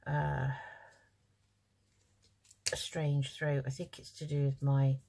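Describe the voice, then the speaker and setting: A woman with a low, slightly croaky voice talks through a craft project. She is chatty and informal, thinking aloud as she works at her table. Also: flat delivery, slow pace, warm tone. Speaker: craft channel host. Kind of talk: casual craft tutorial, talking while working.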